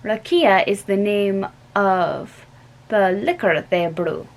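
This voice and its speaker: A woman's voice: medium pitch, lively intonation, takes it slowly. A young woman reading aloud and voicing a weary middle-aged male professor with a put-on German accent, speaking emphatically. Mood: earnest. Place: small bedroom indoors. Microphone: webcam at close range.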